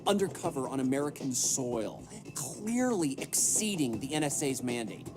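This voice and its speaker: An actor playing a stern, cold government interrogator, pointed and prosecutorial, in a game cutscene. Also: male voice, mid-range voice, lively intonation, normal speed, music in the background, close to an everyday voice. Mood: accusing.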